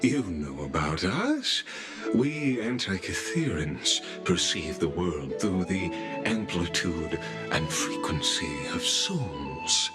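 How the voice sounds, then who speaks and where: A man's voice: deep voice, very animated, slow, exaggerated voice. An actor voicing a courteous, ceremonious male envoy who speaks in a genteel, formal way and chuckles often, in a game cutscene. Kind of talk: studio game voice acting. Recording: game cutscene with music behind.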